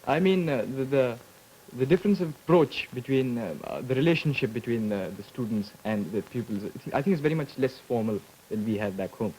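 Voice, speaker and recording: A man's voice: mid-range voice, lively intonation, brisk, darkish tone, slight background noise, rough recording. A young man in his late teens from India, hesitantly searching for words as a student delegate.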